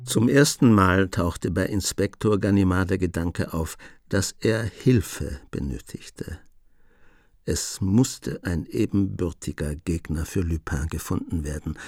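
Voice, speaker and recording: A man narrating a radio play in a measured storytelling manner. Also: low voice, a little mellow, no echo, clean studio sound. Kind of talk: scripted radio drama narration.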